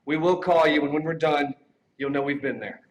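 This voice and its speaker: A man's voice: a little high, a little fast, slight background noise, rough recording. A man hosting a live awards show with jokey, teasing banter. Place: live awards ceremony stage.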